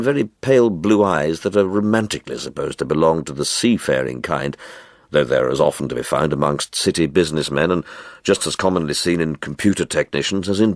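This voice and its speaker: A man with a deep voice reads a short story in a measured, wry way. His pitch moves freely as he tells it. The sound is dry and intimate, with no room around it. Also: no echo. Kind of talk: literary audiobook narration with character voices.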